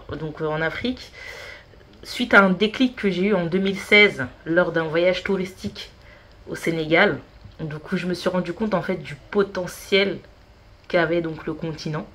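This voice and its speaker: A woman's voice: fairly low pitch, fairly bright tone. A woman in her thirties, a consultant guest on a podcast, talking with many hesitations and fillers. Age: 30s. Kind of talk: casual interview.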